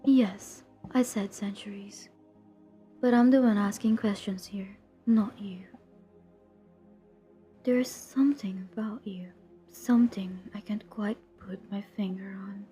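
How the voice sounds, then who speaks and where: A woman playing a centuries-old vampire who hunts her own kind in an audio roleplay, cold, menacing and drily teasing, in a deliberate half-whisper. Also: medium pitch, fairly even intonation, dark tone, soft background music, close to an everyday voice. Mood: suspicious, curious. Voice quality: breathy, husky, half-whispered. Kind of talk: intimate scripted audio roleplay.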